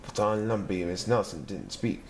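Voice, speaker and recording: A man reading a children's picture book aloud in a lively, expressive storytelling manner. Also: on the low side, moderate pace, slight background noise. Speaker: narrator.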